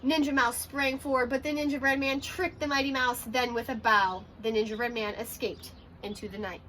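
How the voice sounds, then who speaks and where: High, bright young woman reading a storybook. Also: steady tone, normal speed, slight background noise. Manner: dramatic, carefully paced for children. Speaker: teacher reading aloud. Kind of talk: expressive children's storybook narration. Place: outdoors on a porch by a brick wall.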